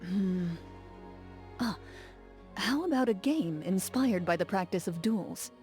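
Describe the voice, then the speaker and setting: An actress playing a stoic young woman duelist, speaking in a calm, measured, formal and reserved way. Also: medium pitch, faint music behind. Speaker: game character. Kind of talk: game character voice lines.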